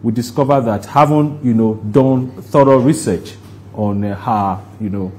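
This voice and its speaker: A man with a Nigerian accent answering interview questions in a deliberate, halting way, explaining things at length. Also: medium pitch, darkish tone, a little hiss or hum. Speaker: guest, stage play producer.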